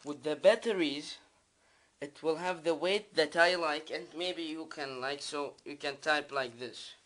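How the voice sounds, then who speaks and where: A man from the Middle East, a native Arabic speaker with an Arabic accent, giving a casual unboxing demonstration in simple, halting, non-native phrasing. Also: a little high, a bit bright. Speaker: video creator, product reviewer. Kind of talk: casual unboxing vlog, talking while demonstrating.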